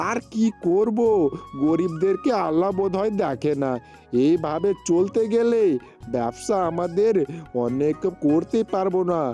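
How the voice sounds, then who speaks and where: A man's voice: high voice, dark tone, natural voice. A man playing an earnest, poor village father who sells fruit, in a children's cartoon, speaking in simple repeated phrases. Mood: dejected. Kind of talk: amateur Bengali cartoon dialogue.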